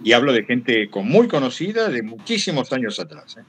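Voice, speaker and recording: A man's voice: mid-range voice, sing-song, husky. Older Argentine man, reflective storyteller. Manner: rambling, halting, repeats phrases for emphasis. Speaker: guest speaker, retired radio broadcaster. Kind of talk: reflective anecdotal talk to a workshop.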